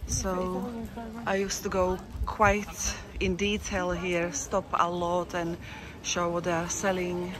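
A woman's voice: lowish voice, completely flat delivery, takes it slowly, few pauses. A woman vlogging while walking through an outdoor city market, chatting casually, rambling and correcting herself, often laughing. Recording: outdoor street with traffic noise. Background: traffic and street bustle.

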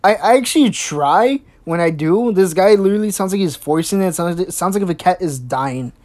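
A woman's voice: expressive, fairly quick, a little mellow. A woman hosting a mocking reaction commentary, sarcastic and crude, swearing freely and stumbling over restarts. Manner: sarcastic, swears a lot, mimics in squeaky voices. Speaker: host.

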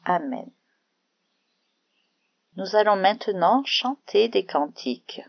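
A woman's voice: medium pitch, animated, slow pace, creaky, no room sound, highs cut off. A woman telling and explaining Bible stories to children in a simple, explanatory way, as if speaking to one child. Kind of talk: narrated children's Bible lesson with prayer.

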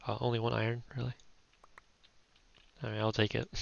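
A young man giving relaxed, chatty gameplay commentary, thinking aloud as he plays. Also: medium pitch, moderate pace, gravelly, lo-fi sound. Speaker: gaming YouTuber.

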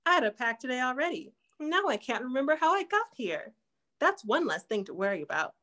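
A woman's voice: sits high, sing-song, a little fast, sharp tone, heavily acted. A woman playing a sassy singing-trio spokeswoman in a comic 1940s-style radio ad, knowing and showy. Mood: blithe. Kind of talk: 1940s radio ad acting, comedic.

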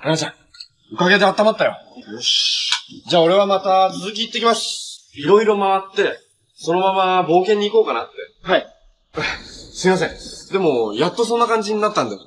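Lively, earnest young hero. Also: male voice, sits high, fairly quick. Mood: friendly, bright. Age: young adult. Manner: friendly, earnest, casually polite. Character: cheerful, gentle, resolute young male adventurer hero. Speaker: actor in a superhero TV drama. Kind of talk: live-action TV drama dialogue. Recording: faint background noise on TV dialogue.

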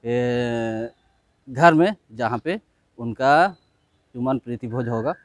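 A man's voice: mid-range voice, slow. A man, giving casual vlog commentary to his viewers. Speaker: vlogger. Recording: music behind the voice.

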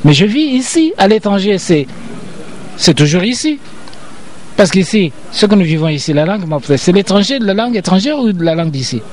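An older man explains himself with the insistence of a lecturer, circling back and repeating his points. His voice sits fairly high for a man. Faint city noise hums behind him. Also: big pitch swings, fairly quick, a little mellow. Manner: emphatic, repetitive, lecturing. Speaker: interview guest, professor. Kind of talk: interview.

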